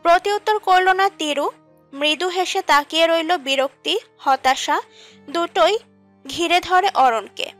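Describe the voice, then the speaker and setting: A female narrator tells a romantic story from a script. Her voice sits high for a woman. Music plays underneath the narration. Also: a little slow, fairly bright tone. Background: background music.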